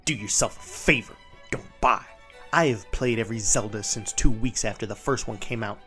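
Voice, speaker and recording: A man's voice: lowish voice, normal speed, a bit bright, soft background music, voice pushed down, natural voice. A man voicing a bitter, disgusted gamer in a terse, grumbling, dismissive dramatic reading. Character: bitter, disgusted, low-voiced disappointed gamer. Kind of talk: dramatic reading of a written review.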